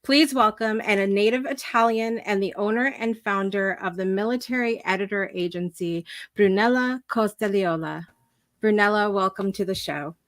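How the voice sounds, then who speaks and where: A woman's voice: mid-range voice, normal speed. A woman presenting as a show host, delivering scripted introductions and interview questions.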